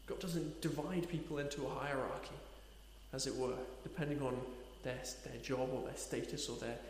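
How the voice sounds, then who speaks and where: A man preaching a sermon, earnest, asking rhetorical questions and slowing down to stress key points. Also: a little high, darkish tone. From Nepal. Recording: echoey hall reverb.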